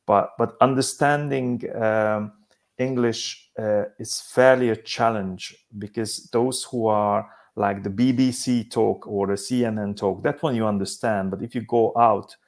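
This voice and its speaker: Hungarian-accented man, halting speech. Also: lowish voice, fairly even intonation. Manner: halting, many restarts and repeated words. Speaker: guest, business simulation company head. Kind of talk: casual discussion.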